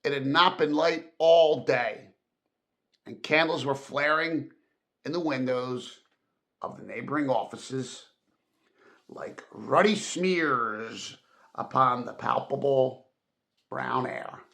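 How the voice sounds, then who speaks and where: A man reading a classic story aloud as narrator, with dramatic, expressive and emphatic phrasing. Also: medium pitch, slow pace, creaky, pauses often. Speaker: audiobook narrator.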